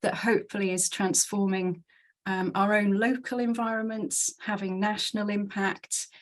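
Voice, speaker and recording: A woman from South Wales speaks to a church gathering as a guest speaker. She talks in an earnest, chatty way and works her thoughts out aloud. Her voice sits at an ordinary middle pitch for a woman. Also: level tone, normal speed. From Swansea, South Wales. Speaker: guest speaker from a conservation charity. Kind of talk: conversational talk to a church group.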